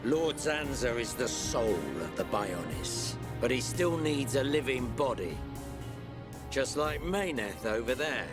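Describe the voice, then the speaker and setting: A man's voice: mid-range voice, lively intonation, measured pace, over loud music, close to an everyday voice. A man voicing a mocking, callous traitor in a video game, sneering and taunting. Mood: mocking, gloating. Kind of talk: video game cutscene acting.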